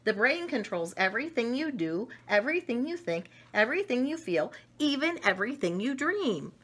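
A middle-aged woman reading a picture book aloud to children, expressive and enthusiastic, with chatty asides to her listeners. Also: fairly high pitch, normal speed, breathy edge. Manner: enthusiastic, expressive, talks directly to young listeners. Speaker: read-aloud storyteller. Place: small domestic room indoors.

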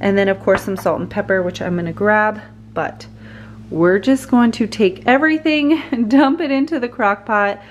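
A woman's voice: medium pitch, moderate pace, highs cut off. Woman, 30s, casual cooking vlog, upbeat, laughs a lot, home kitchen, faint noise. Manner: chatty, upbeat, laughs often. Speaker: vlogger, mom of four.

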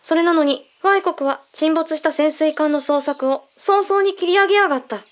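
Radio drama role: female agent giving briefings, clearly high-pitched, blunt, businesslike, mannish wording woman performer. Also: normal speed, lightly acted. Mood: assured, blunt. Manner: blunt, mannish phrasing, explanatory. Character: brisk, matter-of-fact female intelligence agent briefing a colleague. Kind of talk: Japanese radio drama acting.

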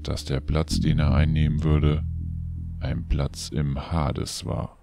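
A man playing the grave storyteller of a radio play, narrating in a dramatic, deliberate way with a gravelly voice. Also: rumbling low, level tone, over music, exaggerated voice. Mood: ominous. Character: grave, ominous male storyteller.

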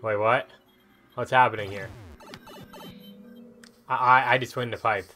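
A man doing casual gameplay commentary as a video host, rambling and thinking out loud as he reacts. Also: medium pitch, fairly even intonation, a little slow, faint music behind.

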